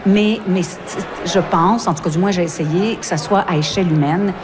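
A woman's voice: on the low side, normal speed, a crowd in the background. A woman from Montreal, a novelist answering interview questions thoughtfully, with fillers and restarts. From Montreal, Quebec. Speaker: novelist and former journalist. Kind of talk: radio interview.

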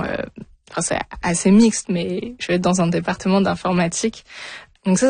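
A woman's voice: medium pitch, a little mellow, no echo. Hesitant young French woman, dry sound. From France. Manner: hesitant, many fillers and restarts. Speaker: interview guest, new PhD in physics. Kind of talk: radio interview, casual answers.

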